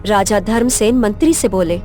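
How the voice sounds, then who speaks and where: A woman's voice: mid-range voice, normal speed, dark tone, faint music behind. A woman narrator reading a scripted folk tale in storytelling style.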